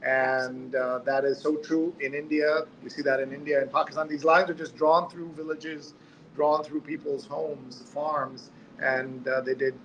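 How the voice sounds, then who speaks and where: Higher-voiced man hosting a live show. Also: level tone, measured pace, fairly bright tone, slight background noise, poor audio. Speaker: host of a live talk show. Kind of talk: casual live show hosting, reading viewer comments.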